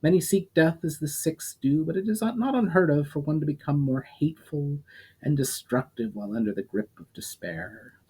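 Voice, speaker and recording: A man's voice: on the high side, lively intonation, moderate pace, a little mellow, husky, voice pushed up. A man reading a story aloud, voicing an ancient, scornful immortal demon who lectures coldly and derisively.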